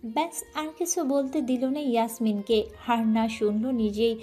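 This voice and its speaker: A woman reads a romantic story aloud with emotive, dramatic delivery. Her voice is mellow and soft yet pitched somewhat high, and faint music plays under her reading. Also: steady tone, unhurried. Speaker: story narrator. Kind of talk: scripted storytelling narration.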